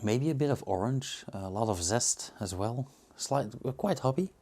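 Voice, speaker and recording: A man with a Belgian accent giving casual, unscripted tasting commentary as a reviewer, pausing to muse and search for words. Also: medium pitch, expressive, darkish tone. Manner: hesitant, musing, searching for words. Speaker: beer reviewer and host.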